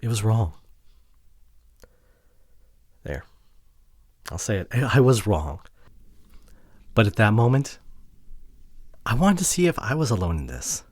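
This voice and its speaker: A man playing a regretful, wounded boyfriend pleading with his partner in an ASMR audio drama, halting and emotional, often dropping to hushed tones. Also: on the low side, very animated, dry recording. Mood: remorseful, subdued.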